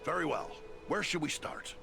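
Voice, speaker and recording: Gravelly, blunt fantasy warrior. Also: male voice, a little high, normal speed, over music. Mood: gruff, ready. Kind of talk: dramatic fantasy game acting.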